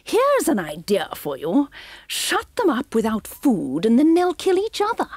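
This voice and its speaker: A shrill, imperious villainess barks commands with gleeful cruelty, as a woman narrator puts her on. The voice leaps high and loud, swinging wildly in pitch, with a slightly husky edge in a dry room. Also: huge pitch swings, a little slow, no echo, exaggerated voice.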